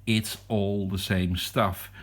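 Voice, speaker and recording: A Dutch man, a non-native English speaker, demonstrating a meter at his desk in a hesitant, halting way. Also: on the low side, level tone. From Netherlands. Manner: hesitant, halting, with many ums and restarts. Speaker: hobbyist reviewer. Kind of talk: casual product demonstration. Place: desk at home.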